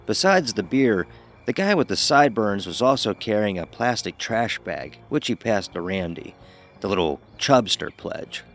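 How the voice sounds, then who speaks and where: Young male narrator played by a man, college pledge, wry and conversational, lower register, audio horror drama. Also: expressive, normal speed, close to an everyday voice. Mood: descriptive, wry. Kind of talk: first-person horror story narration.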